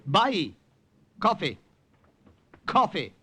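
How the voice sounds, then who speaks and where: Formal, lively-pitched wartime underground major. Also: male voice, drawn-out words, heavily acted. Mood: gracious hosting. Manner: polite, stiffly formal phrasing. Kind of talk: 1950s radio drama acting. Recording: noisy old radio recording with clicks.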